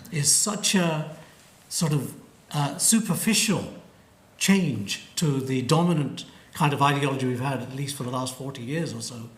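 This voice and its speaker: A man giving an academic panel talk, arguing his points and trailing off with "and so on". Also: mid-range voice. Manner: argumentative, hedging with "and so on". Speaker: panel speaker. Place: lecture hall. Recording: echoey lecture hall.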